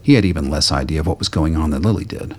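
A man's voice: a bass voice, fast talker, a little mellow, slightly rough. A man reading scripted narration for a radio drama.

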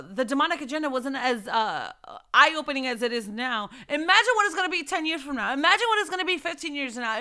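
A young woman giving an informal preaching talk, urging other mothers with repeated rhetorical questions and fervent exhortations. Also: high voice. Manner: shifts between soft reflective testimony and loud urging.

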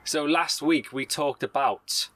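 A man's voice: fairly high pitch, slow pace, fairly bright tone. A man co-hosting a casual podcast from inside a camper bus, upbeat, teasing and laughing often. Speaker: podcast co-host. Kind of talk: casual banter.

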